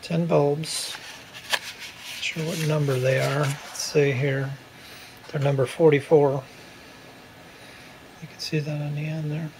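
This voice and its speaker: Gravelly man giving casual how-to tips. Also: on the high side, slow pace, pauses often. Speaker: repair video host. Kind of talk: casual hands-on how-to narration. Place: room at home. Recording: steady hum and room noise.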